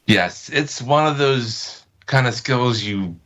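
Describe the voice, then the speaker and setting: A man's voice: mid-range voice, expressive, slow, a bit bright, highs cut off. A man speaking as an interview guest, informal and hesitant as he feels for his words. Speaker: podcast guest. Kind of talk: casual interview chat.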